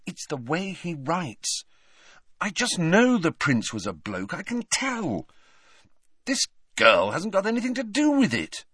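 A man's voice: high voice, wildly swooping pitch, unhurried, fairly bright tone, husky, dry recording, studio quality, voice pushed up, heavily acted. A man voicing a stubborn, exasperated teenage boy in an audiobook, protesting irritably. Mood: defensive.

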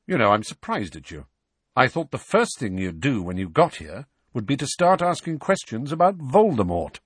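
A man's voice: medium pitch, very animated, normal speed, breathy edge, no room sound, voice pushed up. A man voicing a defiant, cool-headed adult godfather in an audiobook, firm, sardonic and challenging. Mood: casual. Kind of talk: audiobook character voice.

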